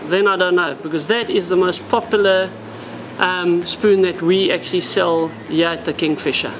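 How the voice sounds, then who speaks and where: A man's voice: sits high, low-quality recording. Brief: South African man, 40s, tackle shop presenter, plain practical delivery, music in the background. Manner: practical, explanatory, addresses viewers as guys. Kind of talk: product demonstration. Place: indoor tackle shop, seated at a wooden counter.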